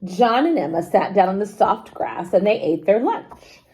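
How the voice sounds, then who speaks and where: A woman reading a children's story aloud expressively, pausing to explain things simply to young listeners. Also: mid-range voice. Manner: animated, unhurried, explains words simply to children.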